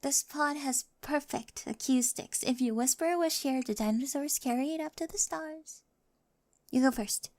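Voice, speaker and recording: A woman playing a quirky, nerdy high school girl with a crush, in an ASMR roleplay with awkward, eager rambling and whispery asides. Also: moderate pace, a little mellow, no echo. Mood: soft, sincere. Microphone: close microphone, dry.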